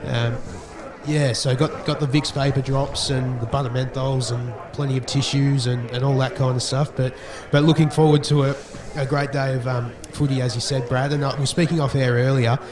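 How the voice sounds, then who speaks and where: Croaky Australian man, halting commentary. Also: medium pitch, little pitch movement, far from the mic. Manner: hesitant, many ums and restarts. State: croaky voice from a cold. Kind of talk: sports commentary. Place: outdoor football ground. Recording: roomy outdoor commentary with crowd.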